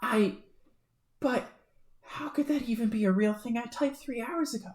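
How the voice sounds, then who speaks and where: A woman voicing a loud, angry young male troll who rants and swears. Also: a little high, normal speed, voice pushed up, natural voice. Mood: furious ranting. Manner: shouting, ranting, swearing. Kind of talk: fan reading of a webcomic. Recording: roomy echo.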